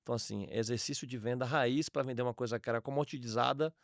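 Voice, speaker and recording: Um homem baiano de uns quarenta anos, com sotaque de Salvador, contando sua trajetória num podcast, de jeito direto e irônico. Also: male voice, mid-range voice, quick pace, vocal fry, dry recording, studio quality. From Salvador, Bahia, Brazil. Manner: blunt, ironic, storytelling with emphatic slang. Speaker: podcast guest, entrepreneur. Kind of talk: casual podcast interview.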